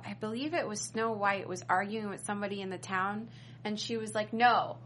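A woman's voice: mid-range voice, brisk, fairly bright tone, a little hiss or hum. A woman on an after-show panel, casually explaining and retelling scenes in a conversational way. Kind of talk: casual fan discussion.

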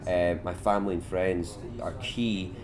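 A man's voice: low-pitched, measured pace, a crowd in the background. A man with a Scottish accent, chatting casually and animatedly as a whisky brand ambassador in an interview. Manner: chatty and animated, many "like" fillers. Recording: noisy background.

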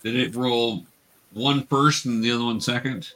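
A man's voice: medium pitch, moderate pace, a bit bright, low-quality recording. A man acting as game master, narrating a tabletop game and explaining options to the players.

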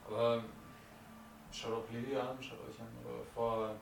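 A man's voice: medium pitch, flat delivery, moderate pace, creaky, pitched below the performer's own voice, natural voice. Man playing a young farmhand, hesitant and easygoing, roomy sound, far from the mic. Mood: calm. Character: plain young male seasonal farmhand. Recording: roomy, distant mic, faint noise.

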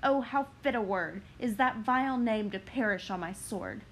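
A woman's voice: fairly high pitch, a bit bright. An ardent young lover, read by a woman. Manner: earnest, measured verse delivery. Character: ardent young man, lovestruck and smooth-talking. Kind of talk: dramatic reading of verse.

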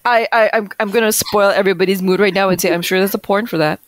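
A woman in casual podcast banter who giggles, stammers and reacts quickly. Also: mid-range voice, fairly even intonation, crowd noise.

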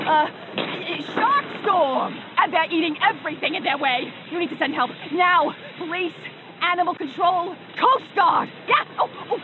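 A woman playing a frantic, foul-mouthed older woman calling emergency services in a comic horror audio skit, over a phone line. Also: very high voice. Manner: frantic, exclaiming, breaking off and repeating words. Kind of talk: comedy audio horror parody. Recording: dull, very noisy emergency phone call.

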